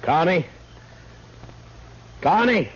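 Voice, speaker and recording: An actor playing a tough but gentle American marshal in a 1950s radio western, speaking plainly in short sentences. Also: male voice, fairly high pitch, very animated, drawn-out words, breathy edge, exaggerated voice. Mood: calling out. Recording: muffled, noisy 1950s radio recording.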